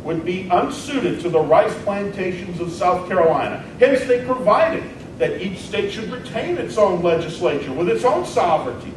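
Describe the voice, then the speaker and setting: A man playing a combative 19th-century American senator, delivering a dramatic debate speech in an emphatic, deliberate oratorical manner. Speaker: performer in a historical dramatic reading. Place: large echoey indoor hall, recorded from afar. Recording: very echoey hall, distant mic, rumbling noise.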